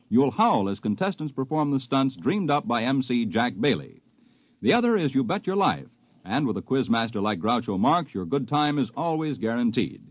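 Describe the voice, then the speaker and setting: A man's voice: mid-range voice, dry recording. A man announcing scripted promotional spots in the polished style of 1950s radio.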